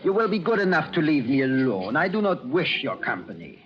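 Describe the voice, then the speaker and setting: A man's voice: on the high side, big pitch swings, a little fast. An actor playing a stiff, brusque German man who resents company, with a German accent, in an old-time radio drama. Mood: indignant. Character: curt, indignant German man refusing company. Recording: dull vintage radio recording, crowd murmur.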